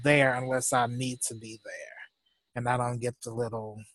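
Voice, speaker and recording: A man's voice: medium pitch, level tone, a bit bright, no echo, rough recording. A man chatting casually as a co-host, rambling and laughing often, sounding congested, speaking. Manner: slow, drawn-out talk. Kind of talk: casual chat.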